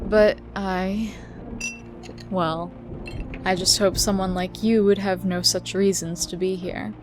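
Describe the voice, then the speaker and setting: An actress playing a world-weary, guarded but caring woman in an audio drama, speaking in a measured, grave way. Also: medium pitch, steady tone, music in the background, natural voice. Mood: weary, reflective.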